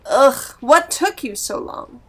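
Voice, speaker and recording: A woman playing a bossy, impatient, sharp-tongued demon girlfriend in an audio drama. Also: high voice, lively intonation, takes it slowly, a little hiss or hum. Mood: impatient, sarcastic.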